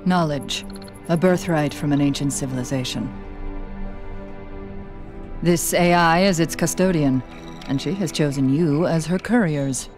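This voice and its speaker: A grave, low-voiced older scientist. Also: female voice. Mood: solemn, commanding. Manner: measured, formal, authoritative diction. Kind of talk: video game cutscene acting. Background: orchestral game music.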